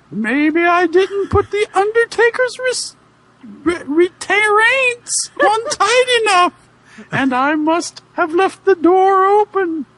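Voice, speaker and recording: A weird, whiny old father in a mock-dramatic reading. The voice is a shrill falsetto, extremely high and very slow. It sounds melodramatic and remorseful, in a dry recording. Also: fairly bright tone, dry recording, full character voice, rough recording.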